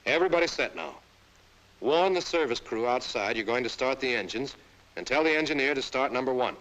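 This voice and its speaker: A man's voice: medium pitch, expressive, fairly bright tone, rough recording. Man narrating a military training film. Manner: brisk, direct, addresses the listener as "you". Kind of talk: scripted instructional narration.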